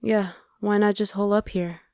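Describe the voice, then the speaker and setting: A woman's voice: mid-range voice, steady tone, moderate pace, a little mellow, no echo, clean studio sound, close to an everyday voice. A woman playing a casual young female survivor of a demon invasion in an ASMR roleplay. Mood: casual, amused. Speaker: voice actor.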